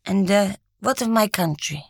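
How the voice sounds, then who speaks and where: A woman voicing a kindly, patient old pipe-smoking man with a Polish accent, gentle and earnest, in an audiobook. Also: medium pitch, lively intonation, normal speed, creaky, slightly rough, no echo, clean studio sound. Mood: curious.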